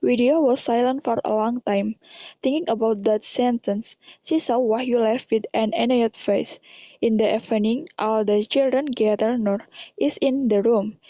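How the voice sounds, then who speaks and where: High, mellow Indonesian woman narrator, dull recording. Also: steady tone, normal speed, dry recording. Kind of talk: scripted narration of amateur radio drama.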